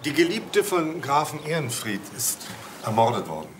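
A man's voice: on the high side, very animated, moderate pace. A well-read, matter-of-fact detective with a very varied intonation. He explains dryly in crime drama style, over noticeable ambient noise. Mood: inquiring. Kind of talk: German TV crime drama acting.

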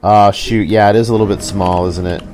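A man's voice: fairly low pitch, steady tone, fairly quick, a little mellow, slight background noise. A man giving casual live commentary while he streams a video game. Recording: game music behind the voice.

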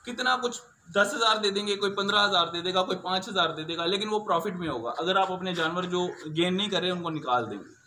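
A young man answers interview questions as a farmer. He explains with repeated filler phrases. The recording is echoey and clearly noisy, made in an open shed. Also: high voice, fast, bright, forceful tone. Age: young adult. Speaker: cattle farmer being interviewed. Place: open-sided cattle shed on a farm.

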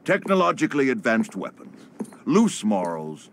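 A slick male arms-company boss delivers a showy sales pitch. His pitch swings wildly up and down in a middle register. There is clear noise behind him. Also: huge pitch swings, normal speed. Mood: slick, salesman-like. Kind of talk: corporate orientation video pitch.

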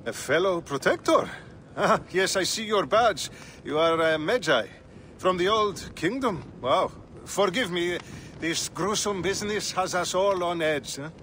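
Game role: wry lawman, weary and out of his depth, man, high bright voice, slightly halting delivery. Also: lively intonation, slight background noise. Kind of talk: dramatic game cutscene dialogue.

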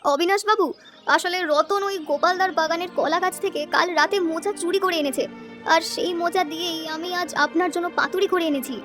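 A woman voicing an eager, obliging young village wife in a fairy tale cartoon, brisk and confident. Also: extremely high pitch, music in the background.

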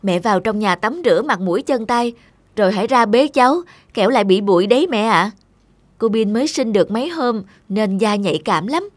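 A woman's voice: mid-range voice, animated, a little fast, dry recording, close to an everyday voice. A woman playing an anxious, exasperated young new mother in a cartoon, plaintive and scolding. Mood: gently chiding. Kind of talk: cartoon dialogue.

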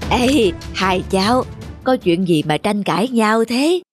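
Casting: wise, kindly old cartoon bear, measured and moralising. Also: male voice, high-pitched, animated, faint music behind. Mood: gently admonishing. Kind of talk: cartoon voice acting.

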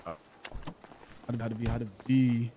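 A man's voice: medium pitch, level tone, moderate pace, dark tone, a little hiss or hum. A man playing an online role-play game, talking casually in slang and repeating words over voice chat. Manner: slangy, repetitive. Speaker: fellow role-play gamer.

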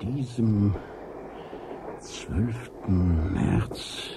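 An actor playing a pensive traveling priest who muses hesitantly and politely in a radio play. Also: male voice, on the low side, expressive, very slow, gravelly, background noise, full character voice. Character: pensive priest, male traveler brooding over memories. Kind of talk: 1980s German radio play acting.

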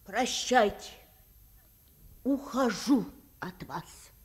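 An actress playing a doting, temperamental household nanny in a radio play, plaintive, dramatic and folksy. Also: female voice, fairly high pitch, animated, very slow, background noise. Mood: indignant. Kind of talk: Soviet radio play acting.

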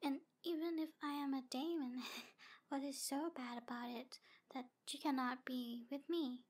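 A woman voicing a jealous, lovesick female demon in an ASMR roleplay, halting and breathy, often slipping toward a whisper. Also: high-pitched, fairly even intonation, a little mellow. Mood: flustered, pleading. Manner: breathy, halting delivery with whispered phrases and giggles. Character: jealous, obsessive female demon in love, taunting and wounded. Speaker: audio roleplay performer.

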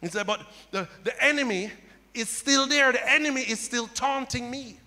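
Impassioned preacher man, bright high voice. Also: moderate pace. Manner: impassioned preaching, rising to loud cries. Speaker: pastor. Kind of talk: sermon. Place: church stage with audience. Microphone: handheld mic. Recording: long hall reverb.

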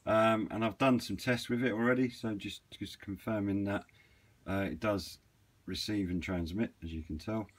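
A British man with a low voice talks through gear for an online video. He is informal and matter-of-fact, feeling his way through an unscripted walkthrough. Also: fairly even intonation, moderate pace. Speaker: YouTube presenter of radio equipment videos. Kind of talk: casual unscripted product walkthrough.

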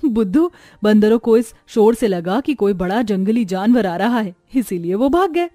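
A woman voicing a poor young village sister, earnest and expressive, in an animated moral story. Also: medium pitch, normal speed, no echo, close to an everyday voice. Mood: amazed, playful.

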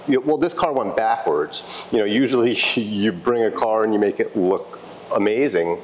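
Guy with a lowish voice, restoration tech chatting casually, repeats himself a bit, steady noise in the background. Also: normal speed, poor audio. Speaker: car restoration technician. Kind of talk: casual explanatory interview.

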